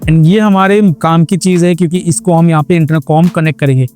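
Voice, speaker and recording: A man speaks in a distinctly high-pitched voice over background music. He presents a hands-on tutorial in a casual vlogger style. His explanations go step by step, with English words mixed in. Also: fairly even intonation, brisk, a little mellow. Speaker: motorcycle vlogger and tutorial host. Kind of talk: casual hands-on how-to narration.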